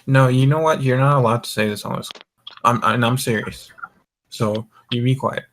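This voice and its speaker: A man's voice: mid-range voice, steady tone, moderate pace, a bit bright, a little hiss or hum. A drunk young man hosting a casual group chat, rambling and swearing as he goes. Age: young adult. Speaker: voice call host.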